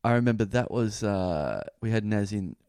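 A man's voice: fairly low pitch, animated, moderate pace, a little mellow, smooth, dry recording, clean studio sound. A man co-hosting a comedy podcast, telling a long story in a rambling way and often repeating himself. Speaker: podcast co-host.

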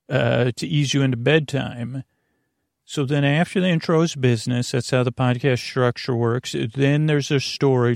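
Male podcast host, gravelly creaky voice, dry close sound, meandering goofy bedtime chatter. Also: medium pitch, darkish tone, no room sound. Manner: meandering, frequently trailing off and circling back; silly, goofy. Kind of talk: rambling bedtime podcast introduction.

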